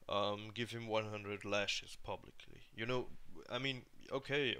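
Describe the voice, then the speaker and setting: A man gives an unscripted, argumentative commentary in a fairly low voice with a bright, forceful edge. His sound is clean and clear, though his delivery is halting, full of hesitations and restarts as he hunts for the right word. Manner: halting and searching for words, with many hesitations and restarts. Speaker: online commentator giving a video response. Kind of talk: unscripted opinion monologue.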